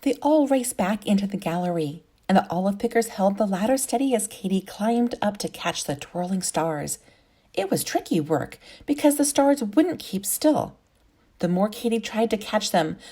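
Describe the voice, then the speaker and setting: A woman reads a picture book aloud like a kindergarten teacher. Her voice is slightly husky and very expressive in pitch, with phrases drawn out carefully for small children. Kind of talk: expressive storybook reading for young children.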